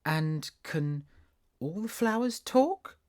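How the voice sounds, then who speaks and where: A storyteller voicing a polite, timid young girl who asks soft, curious questions. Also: mid-range voice, animated, slow pace, voice pushed up.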